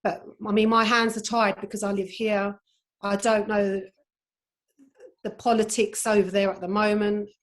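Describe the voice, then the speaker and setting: A woman's voice: medium pitch, flat delivery, moderate pace, poor audio. A woman speaking at a conference, hesitant and reflective, with frequent "I mean" fillers. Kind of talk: reflective conversation.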